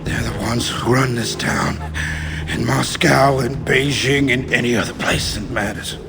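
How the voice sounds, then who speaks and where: An actor playing a smug, defiant male villain under interrogation, taunting and condescending in a gravelly voice. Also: medium pitch, unhurried. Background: music.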